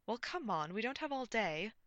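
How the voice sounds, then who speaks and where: Woman as imperious fantasy noblewoman, antagonistic, bold, bright forceful tone, impatient authority. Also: lowish voice, expressive, measured pace, vocal fry, dry recording, muffled recording, heavily acted. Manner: authoritative, demanding.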